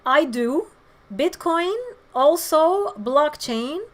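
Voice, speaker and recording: A woman with a hoarse voice, chatting frankly with viewers during a livestream and giving her opinions with many pauses. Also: sits high, animated. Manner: frank, opinionated, hesitant fillers. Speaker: livestream host. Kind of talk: casual chat answering viewer comments.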